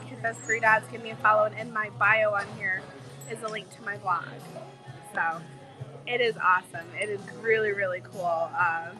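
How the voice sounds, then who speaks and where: A woman travel vlogger with a cold, her voice a bit hoarse, chatting in a bubbly, gushing way to her live viewers from a bar. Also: medium pitch, low-quality recording. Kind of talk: casual live-stream chat with viewers. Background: music playing in the bar.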